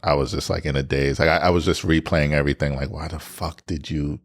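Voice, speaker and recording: A man with a deep voice co-hosting a podcast, candidly retelling a story and acting out his own past lines. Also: steady tone, fairly quick. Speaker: podcast co-host. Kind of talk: candid storytelling in casual chat.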